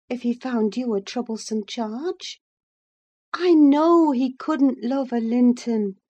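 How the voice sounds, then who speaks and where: A fierce young married woman of a classic novel, played by a woman narrator, speaks in a high, forceful voice. She declaims with scorn and command, swooping on her emphatic words.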